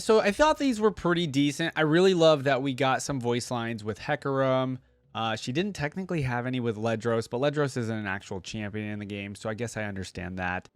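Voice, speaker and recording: A man's voice: medium pitch, no room sound. A man giving casual reaction commentary as a host, thinking aloud in an informal way.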